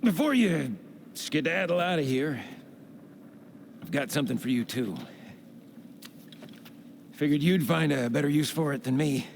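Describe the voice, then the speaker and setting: An actor playing a hapless, lonely, self-pitying adventurer in a video game, with a folksy, rueful way of talking. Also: male voice, fairly high pitch, lively intonation, measured pace, creaky, long pauses, a little hiss or hum. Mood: fond. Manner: folksy, colourful exclamations, rueful. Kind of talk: video game cutscene acting.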